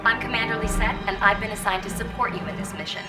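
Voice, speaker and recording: A woman playing a confident, quietly threatening military commander in a video game. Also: fairly high pitch, fairly even intonation, fast, distant mic, close to an everyday voice. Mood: self-assured, coolly menacing. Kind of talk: video game character dialogue. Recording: game audio with music behind.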